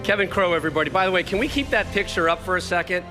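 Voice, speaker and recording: A man hosting a ceremony, loud and joking, excitable as he teases an honoree. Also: high-pitched, rapid-fire, music in the background. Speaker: host.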